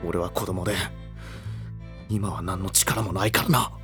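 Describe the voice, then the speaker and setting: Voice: deep voice, steady tone, a little slow, music in the background. An actor playing a brash, protective teenage boy who speaks bluntly and emotionally in a voice drama. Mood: determined. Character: hot-headed protective teenage boy, rough-spoken. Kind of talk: voice drama acting.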